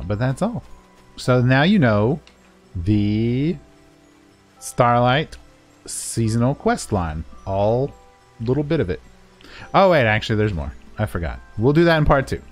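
A man's voice: fairly low pitch, big pitch swings, unhurried, pauses often, soft background music. A man giving a casual, unscripted game walkthrough in a playful, self-deprecating way. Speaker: gaming video creator and guide narrator.

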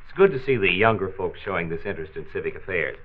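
A man's voice: low-pitched, expressive, fast. A man playing a patient, avuncular county health doctor who explains things in a 1940s radio drama. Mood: friendly, explanatory. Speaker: health commissioner. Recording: tinny band-limited 1940s transcription disc.

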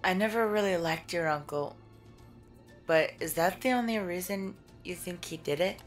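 Woman playing a low-pitched, concerned young friend. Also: moderate pace, soft background music, natural voice. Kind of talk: audio drama acting.

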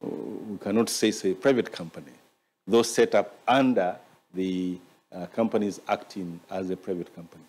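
A man, moderating a panel with halting, deliberate comments and questions. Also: low-pitched, steady tone, a little mellow. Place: conference stage, indoors. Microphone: gooseneck table microphone.

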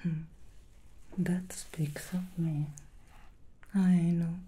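A woman's voice: lowish voice, level tone, drawn-out words, mellow, husky, exaggerated voice. A woman whispering in an ASMR roleplay as a seductive female demon hair stylist, coaxing and teasing.

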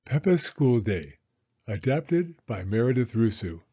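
An older man reading a children's story aloud as a storyteller, with expressive, deliberate phrasing for young listeners. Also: mid-range voice, husky, highs cut off. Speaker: storyteller, elementary school teacher.

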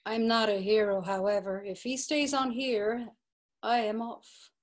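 A woman's voice: on the high side, fairly even intonation, a little slow, natural voice. A woman reading the part of a guarded, brooding young man in a dramatised literary story, plain and restrained. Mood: uneasy, resentful.

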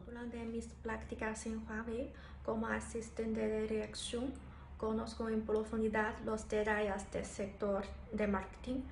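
A young woman from China with a slightly high voice, a native Chinese speaker, reads her prepared application statement to the camera. She speaks carefully and formally. The small bare room gives an echoey sound with faint ambience behind her. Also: slight background noise. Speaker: master's applicant. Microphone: phone or camera in front, head and shoulders framing.

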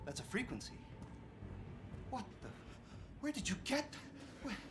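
An earnest, urgent doctor. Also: male voice, very high voice, very animated, moderate pace. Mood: wary. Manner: earnest, pleading. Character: compassionate, urgent middle-aged male doctor. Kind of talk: cinematic game voice acting. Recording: game effects noise behind the voice.

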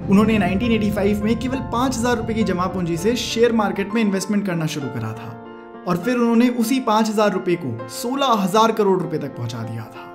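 Male narrator over prominent music. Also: high voice. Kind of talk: scripted biographical narration. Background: instrumental music.

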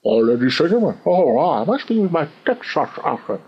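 A man teaching a chart lesson over his screen, animated and full of rhetorical questions, chuckling now and then. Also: on the high side, fairly quick, slight background noise. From lives in London. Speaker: trading educator. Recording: dull lo-fi sound.

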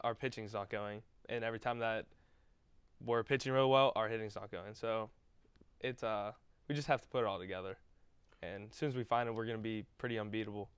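A man's voice: on the low side, smooth, no echo. A young man who plays college baseball, giving plain, modest interview answers. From Pennsylvania. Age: young adult.

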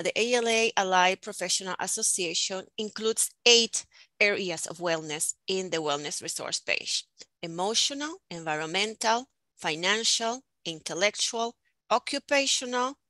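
Middle-aged woman hosting an online panel, bright crisp voice, dry close sound, measured delivery. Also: mid-range voice, dry recording. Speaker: panel host and moderator. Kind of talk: prepared formal presentation. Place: indoors on a video call, virtual background. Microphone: headset.

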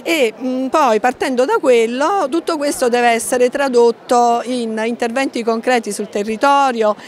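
A woman's voice: on the high side, measured pace. A middle-aged woman giving explanatory remarks as a conference speaker.

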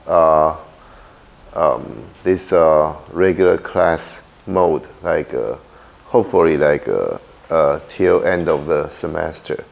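Halting, low-voiced Taiwanese lecturer. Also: male voice, gravelly. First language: Mandarin. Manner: halting, many fillers and "okay?" checks. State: slightly hoarse after illness. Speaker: university lecturer. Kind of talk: classroom lecture. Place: large lecture hall with projector. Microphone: handheld microphone.

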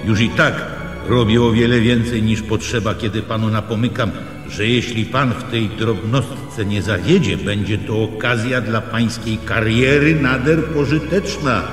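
An actor playing a cold, officious castle official in a radio play, speaking formally and imperiously. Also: male voice, lowish voice, measured pace, lightly acted. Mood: cold, commanding. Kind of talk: radio drama acting. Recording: reverberant hall with music behind.

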